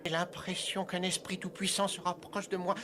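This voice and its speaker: A man voicing a serious alien warrior in a dubbed cartoon, talking to himself in a measured way. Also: high-pitched, little pitch movement, heavy background noise, close to an everyday voice. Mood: alert, wondering. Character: serious adult male alien warrior, attached to home. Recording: loud music behind the dubbed dialogue.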